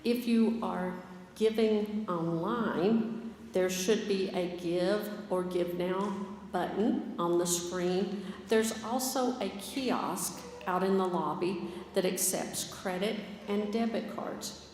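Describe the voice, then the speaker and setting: Woman giving clear offering instructions in hall. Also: mid-range voice, slow pace, darkish tone, slight background noise. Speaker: finance and operations director. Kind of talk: church announcement. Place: large church sanctuary. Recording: echoey hall with long reverb.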